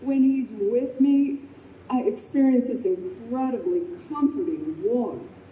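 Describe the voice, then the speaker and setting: Woman acting middle-aged mother, very soft dark tone, animated swings, affectionate yet exasperated, radio drama, roomy live sound. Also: a little high, slow. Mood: tender. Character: warm, exasperated fifty-two-year-old smoker mother and office manager. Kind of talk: old-time radio stage acting. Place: convention room stage performance. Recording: roomy live hall, faint background noise.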